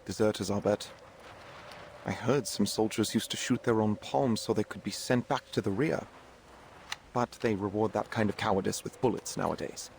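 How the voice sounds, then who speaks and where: An actor playing a world-weary German army captain in a war game, cynical and gruff as he commands. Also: lowish voice, steady tone, moderate pace, slight background noise. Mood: cynical, commanding.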